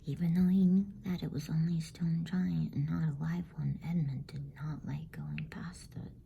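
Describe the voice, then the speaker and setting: A woman reading a bedtime story as ASMR in a hushed, unhurried whisper. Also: low-pitched, fairly even intonation, vocal fry, husky.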